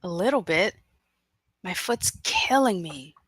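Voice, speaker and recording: A woman acting a weak, frightened, injured captive in a screenplay table read, halting and plaintive. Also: lowish voice, expressive, fairly bright tone, no echo, clean studio sound, heavily acted. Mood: weary, pained.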